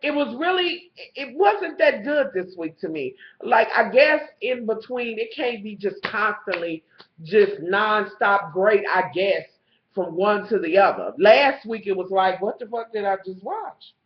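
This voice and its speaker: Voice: mid-range voice, animated, a little slow, roomy sound. Stuffed-up, profane recapper. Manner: profane, gossipy storytelling that calls the viewer honey. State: stuffed-up nose. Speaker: TV show reviewer. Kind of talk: casual episode recap vlog. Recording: slightly muffled lo-fi recording.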